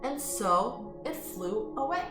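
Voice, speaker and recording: A woman reading a picture book aloud to children in an expressive, inviting way, with friendly chatty asides. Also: sits high, takes it slowly, over loud music. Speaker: children's storytime reader. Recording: roomy echo.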